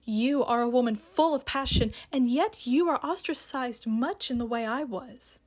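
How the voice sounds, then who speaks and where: Actress as a slightly high, hushed, menacing female spirit seeking vengeance. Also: normal speed, natural voice. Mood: ominous. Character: vengeful ghostly woman, ancestral witch. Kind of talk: radio drama acting.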